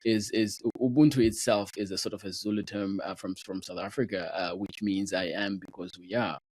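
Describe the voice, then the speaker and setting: A man's voice: fairly low pitch, steady tone, brisk, darkish tone, no echo. A man from Zimbabwe hosting a podcast interview, talking conversationally with lots of affirming backchannels and filler words. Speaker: podcast host.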